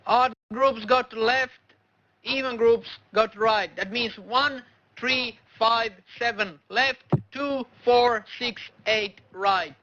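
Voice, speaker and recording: A man's voice: very high-pitched, slow, bright, forceful tone, highs cut off. A man leading an online game raid, angrily barking repetitive, profane orders over old voice chat. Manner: angry, profane, commanding, repetitive.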